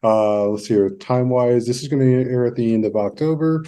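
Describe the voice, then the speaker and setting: A man's voice: mid-range voice, brisk, mellow. A man hosting a podcast in a casual interview style. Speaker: podcast host.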